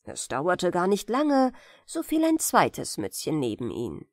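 Female storyteller, bedtime fairy tale, expressive, unhurried pace, dry close sound. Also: lowish voice, no echo. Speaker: storyteller, audiobook narrator.

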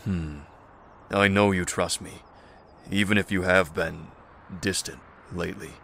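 A man playing a calm, courteous, concerned leader in an apocalypse audio drama, in a gravelly, measured voice. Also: sits low, slight background noise, full character voice. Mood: gentle, concerned. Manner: measured, polite. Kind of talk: fan audio drama acting.

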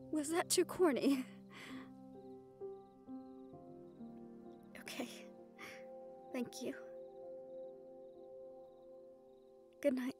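A woman playing a shy, sweet, self-conscious young nephilim woman in love, speaking hesitantly and tenderly in a romantic audio roleplay. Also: on the high side. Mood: affectionate, shy. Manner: hesitant, tender, breathy hushed passages.

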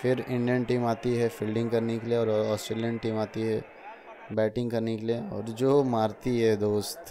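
Flat-voiced young man narrating a vlog. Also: fairly low pitch, normal speed, background noise. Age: young adult. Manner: chatty, addresses viewers as friends. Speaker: vlogger. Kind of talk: casual vlog voice-over narration.

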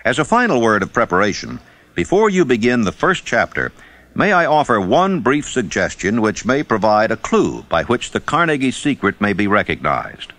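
A man reading scripted audiobook narration in a measured, formal and emphatic way. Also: mid-range voice, very animated, a bit bright, no room sound.

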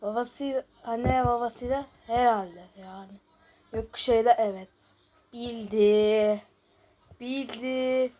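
A woman's voice: on the high side, steady tone, slow, mellow. A woman hosting a casual gameplay video, chatting and thinking aloud as she reads out questions and answers them. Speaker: YouTube host.

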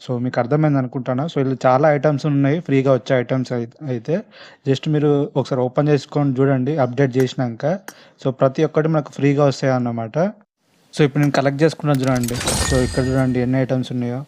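Fast-talking man with Telangana Telugu accent. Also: mid-range voice, fairly even intonation, mellow. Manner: informal, chatty, addresses viewers directly. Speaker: gaming video host. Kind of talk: casual gameplay commentary. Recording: faint background noise, clicking sounds.

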